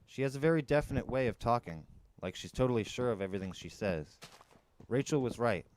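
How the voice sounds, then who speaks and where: A man's voice: medium pitch, animated, a little fast, a little mellow, slight background noise. A man reading a first-person story aloud as a dramatic narrator, on an amateur recording. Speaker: audiobook narrator.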